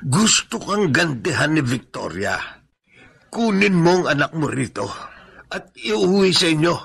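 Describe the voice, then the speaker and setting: A creaky, timid household servant. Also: male voice, fairly high pitch, sing-song, measured pace, a bit bright, full character voice. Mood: concerned. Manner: hesitant, stammering under pressure. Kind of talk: Filipino radio drama acting.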